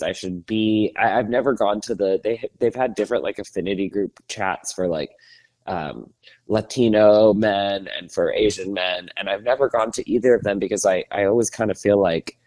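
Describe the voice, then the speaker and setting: A man's voice: fairly low pitch, normal speed, a little mellow, no room sound. A man co-hosting a podcast, chatting casually and animatedly, laughing often. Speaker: podcast co-host. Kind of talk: casual chat.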